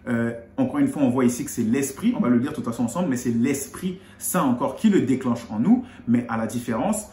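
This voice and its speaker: A man from Congo explains scripture in a relaxed, conversational tone, his voice sitting at an average pitch and lifting on key points. The room around him is echoey. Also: fairly quick. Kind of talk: explaining scripture in casual chat.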